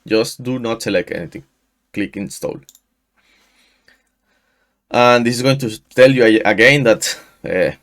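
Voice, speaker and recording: A man in his thirties from Mexico, a native Spanish speaker with a Mexican accent, walking through a software install in a screen-share tutorial, often restarting his sentences. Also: medium pitch. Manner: halting, frequent restarts and fillers. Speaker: tutorial host. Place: small room indoors. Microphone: headset.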